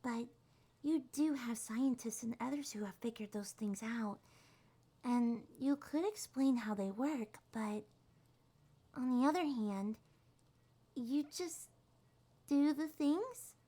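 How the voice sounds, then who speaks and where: A woman voicing a small, shy, gentle monster girl in an ASMR roleplay, speaking softly, hesitantly and reassuringly. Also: on the high side, slow pace, pauses often. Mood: gentle, chatty. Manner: hesitant, soft-spoken, reassuring.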